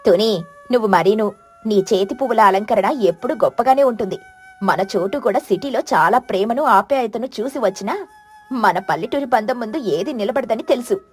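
A woman's voice: fairly high pitch, lively intonation, moderate pace, darkish tone, husky, soft background music. A woman voicing a kind female pigeon friend in a cartoon.

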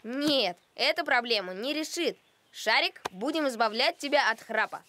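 A woman voicing a sensible, caring little boy in an animated cartoon, speaking earnestly and instructively. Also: fairly high pitch, moderate pace. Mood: determined.

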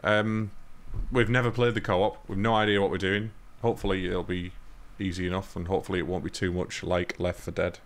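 A man's voice: low-pitched, level tone, fairly quick, hardly any pauses. A British man hosting a gaming livestream, chatting casually and swearing freely in a laid-back way. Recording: faint noise, game gunfire behind.

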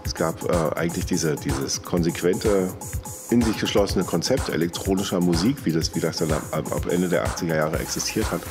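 A man in casual interview talk, halting and rephrasing as he goes. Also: sits low. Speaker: interviewee, techno scene witness. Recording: music bed behind voice. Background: music.

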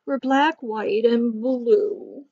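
A woman acts out a storybook panda longing for a little one, plaintive and expressive. The voice sits fairly high and sounds close and dry, a little dull. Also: very slow, breathy edge, dry recording, exaggerated voice. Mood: moaning.

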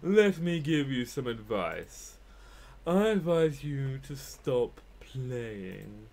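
A man voicing a stern, skeptical young visual novel character who gives advice in a measured, admonishing way. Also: mid-range voice, very animated, darkish tone, exaggerated voice. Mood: stern, dismissive.

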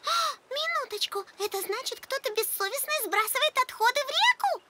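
A woman in a children's cartoon dub voicing a tiny, bold, excitable girl animal. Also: brisk, far from the mic, very noisy. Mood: indignant. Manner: excitable, confident, squeaky. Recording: loud music behind the voice.

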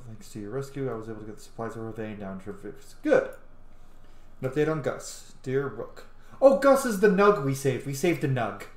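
A man in his thirties doing informal, sweary game commentary, thinking out loud and reading text aloud. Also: medium pitch. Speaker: gaming video host. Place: small room at home, indoors. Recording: roomy, echoey home recording.

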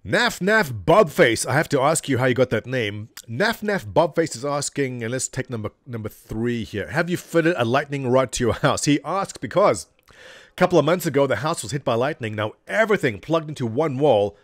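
A man's voice: medium pitch, moderate pace, dry recording, studio quality. A man in his thirties answering viewer questions in a casual, jokey way at his home desk, speaking into a desk microphone. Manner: animated, jokey, reads questions aloud. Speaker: gaming community leader answering viewer questions. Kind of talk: casual webcam Q&A. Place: home office, seated in gaming chair. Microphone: desk condenser mic in front of him.

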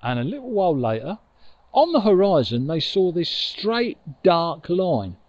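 An older man telling a children's story in an expressive, conversational way, recorded outdoors on a lapel mic. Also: sits high, a little slow, dry recording, muffled recording. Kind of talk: informal oral storytelling for children. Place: outdoors on a grassy riverbank. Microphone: lapel mic with furry windshield.

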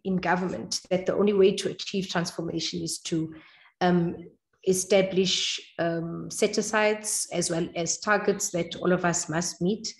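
A woman with a South African accent, giving formal, measured panel remarks over a video call. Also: mid-range voice, roomy sound, low-quality recording.

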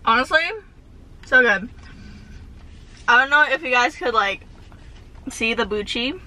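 Bubbly bright-voiced young woman vlogging in her car. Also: a little high, lively intonation, a little slow, rough recording. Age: young adult. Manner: bubbly, says "like" constantly, giggly. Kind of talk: casual chatty vlog with eating. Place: inside a parked car. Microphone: camera on dashboard facing her.

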